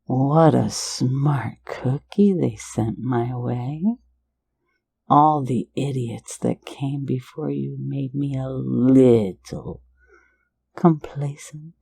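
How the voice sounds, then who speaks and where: A woman voicing a teasing, flirtatious phantom thief in an ASMR roleplay, in a soft, intimate whisper right at the mic. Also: a bass voice, vocal fry, husky, heavily acted. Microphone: close mic for ASMR.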